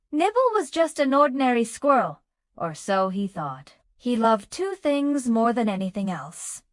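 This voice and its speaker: Higher-pitched woman narrating children's stories. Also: dry recording, studio quality. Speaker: children's storyteller and channel host. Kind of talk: scripted bedtime story narration for children.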